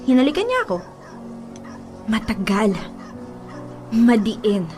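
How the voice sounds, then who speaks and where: A woman's voice: medium pitch, lightly acted. A woman with a Filipino accent playing a confrontational, jealous young ex-girlfriend in a radio drama, speaking emphatically. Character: defiant, jealous young ex-girlfriend fighting for her man. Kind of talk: radio drama acting in mixed Tagalog and English. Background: music.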